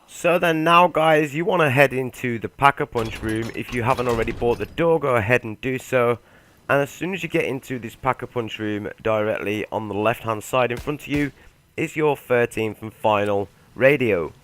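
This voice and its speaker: A man's voice: mid-range voice, moderate pace, a bit bright, slight background noise. A man hosting a gaming video, giving a laid-back walkthrough voice-over and speaking straight to his viewers. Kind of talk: casual game walkthrough voice-over.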